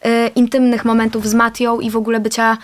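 A woman's voice: a little high, monotone, quick pace. A young woman, a singer and interview guest, chatting casually in a rambling way and laughing often. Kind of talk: casual conversation.

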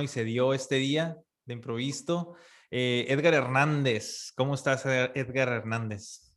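A man hosting a podcast, friendly and quick to laugh in casual chat. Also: mid-range voice. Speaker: podcast host.

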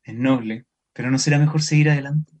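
Radio drama role: male actor for curious, thoughtful young man, Chilean accent, warm rounded voice, questioning manner. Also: medium pitch, steady tone, fairly quick, close to an everyday voice. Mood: sympathetic. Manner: questioning, earnest.

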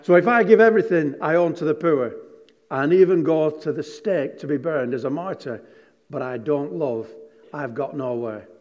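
Preacher in a reverberant hall. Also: male voice, a little high, normal speed, darkish tone, lo-fi sound. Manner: chatty, jokey storytelling with emphatic scripture reading. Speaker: pastor. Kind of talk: sermon. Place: large hall with a congregation.

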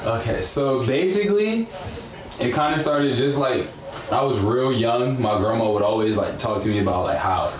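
A man's voice: mid-range voice, animated, normal speed, recorded from a distance, music in the background. An eighteen-year-old man, a rapper guest on a podcast, chatting casually in a laid-back, slangy way with easy laughs. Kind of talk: casual chat. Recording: echoey room with crowd noise.

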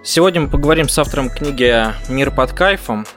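A man hosting a podcast, asking his guest calm, measured questions. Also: fairly high pitch, soft background music. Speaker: podcast host. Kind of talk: interviewing.

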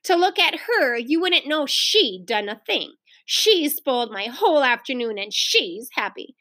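A woman's voice: high-pitched, big pitch swings, unhurried, sharp tone, dry recording, exaggerated voice. Woman doing an exasperated big-sister girl. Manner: exasperated, sulky. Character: annoyed older sister, a girl.

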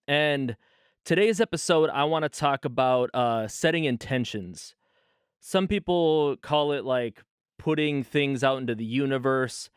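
A man's voice: medium pitch, clean tone, dry recording, studio quality. A man in his thirties hosting a casual solo podcast from his bedroom, talking loosely and often correcting himself. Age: 30s. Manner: loose, rambling, self-correcting. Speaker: podcast host.

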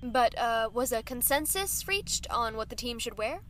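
An actress playing a news anchor who asks polite, eager questions in a radio drama. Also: female voice, a little high, expressive, normal speed, fairly bright tone, a little hiss or hum. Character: female news anchor hosting a live broadcast.

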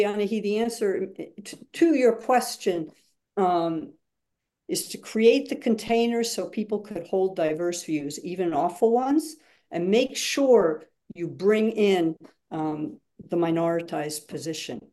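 A woman's voice: medium pitch, a little mellow. A woman speaking as a guest facilitator in a webinar, explaining emphatically and acting out examples as she goes.

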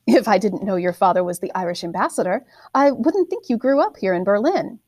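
A woman voicing the chatty, admiring wife of a German army officer, full of flattery. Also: mid-range voice, fairly quick, no echo, studio quality, lightly acted.

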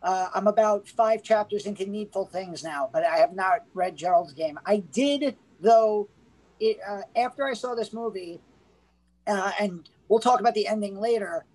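A man co-hosting a casual movie podcast, relaxed and knowledgeable, talking with frequent fillers. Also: high-pitched, normal speed, rough recording. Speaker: podcast co-host. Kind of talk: casual movie review chat.

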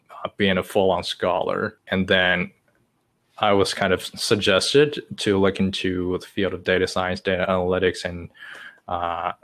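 A man's voice: low voice, a bit bright. A man in his twenties from China, whose first language is Chinese, telling his story in casual chat with frequent hesitations. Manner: hesitant, many uh fillers, long-winded. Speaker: podcast guest.

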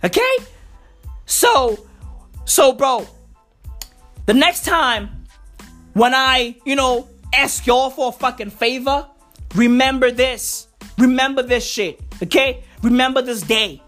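A woman hosting a podcast in a loud, profane comedic rant, her voice going hoarse. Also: sits high, slow pace, long pauses, soft background music. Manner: loud, excitable, swearing constantly, slangy. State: hoarse, losing voice. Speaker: podcast host.

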